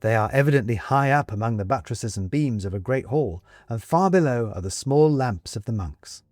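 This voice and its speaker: Expressive man reading a story. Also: medium pitch, moderate pace, dry recording. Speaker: story reader. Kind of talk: expressive scripted audiobook narration.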